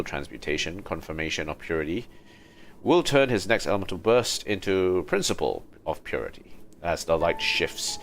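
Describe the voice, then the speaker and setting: A man livestreaming a game in a low-key, musing way, commenting casually and reading text aloud. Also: fairly bright tone.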